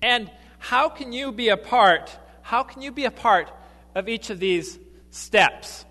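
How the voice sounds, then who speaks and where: A man, a lay missionary, preaching a sermon in a church, halting with fillers and restarts. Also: very high-pitched, animated, bright, forceful tone, in a large hall. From grew up partly in Ecuador, then US.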